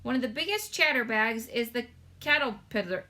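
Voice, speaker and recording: Storybook giant, male character woman performing: sincere, touchy, folksy phrasing, forceful bright tone. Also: on the high side, animated, moderate pace. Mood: excited. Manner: folksy muddled grammar, emphatic telling. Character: earnest, touchy giant man with muddled grammar. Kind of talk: storybook read-aloud character voice.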